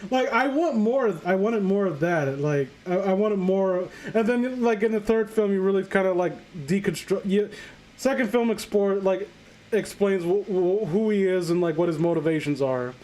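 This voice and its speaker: A man's voice: high-pitched, moderate pace, darkish tone, poor audio. A man hosting a live stream, chatting casually and bluntly about films, rambling and swearing as he gives opinions.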